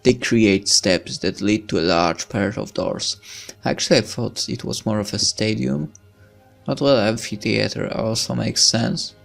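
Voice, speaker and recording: A man's voice: lowish voice, measured pace, gravelly, faint music behind. A man reading a visual novel aloud as narration, breaking into casual commentary as a let's player.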